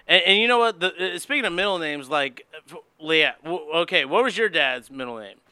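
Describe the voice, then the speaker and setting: A young man in casual chat with friends, halting and interrupting himself with "like". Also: on the high side, animated, sharp tone, clean tone, no echo.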